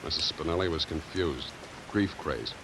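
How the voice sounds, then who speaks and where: An actor playing a sardonic, wisecracking private detective with clipped, dry delivery in a 1950s radio drama. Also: male voice, a bass voice, moderate pace. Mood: subdued, tender. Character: hard-boiled, wisecracking private detective, exasperated with his secretary. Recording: hissy vintage radio recording.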